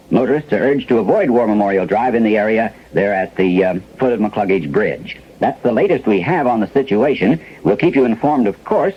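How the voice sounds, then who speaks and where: Male newsroom anchor for 1970s radio drama: businesslike, halting, slightly rough voice, muffled lo-fi broadcast sound. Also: medium pitch. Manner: hesitant live news reading with pauses. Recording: dull, lo-fi old radio broadcast recording.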